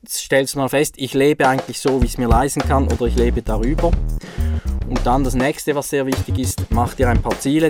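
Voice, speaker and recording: Male educator, mid-pitched, plain colloquial talk, prominent music bed. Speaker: financial literacy educator. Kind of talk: explanatory talk with acted-out dialogue examples. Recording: loud music bed under voice. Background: music with drums and guitar.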